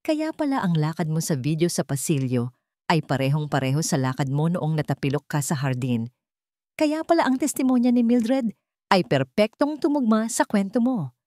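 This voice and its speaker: A woman's voice: mid-range voice, big pitch swings, moderate pace, warm tone, no room sound, studio quality, close to an everyday voice. Woman voicing an intense, emphatic billionaire man. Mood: stern, angry. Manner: emphatic, accusing, insistent. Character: stern, commanding billionaire man, remorseful and pleading later.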